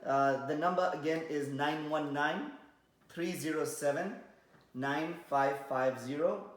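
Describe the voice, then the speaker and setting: A man's voice: on the high side, measured pace. A man with a Kenyan accent co-hosting a podcast, bantering casually and switching between languages. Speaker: podcast co-host and DJ. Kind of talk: casual bilingual chat, reading viewer comments. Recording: echoey room.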